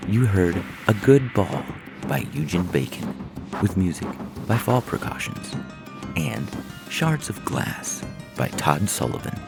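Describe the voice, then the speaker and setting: A man with an extremely low voice reads scripted science fiction as a podcast narrator. There is a gravelly fry in his delivery, and faint music plays behind him. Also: very animated, slow pace.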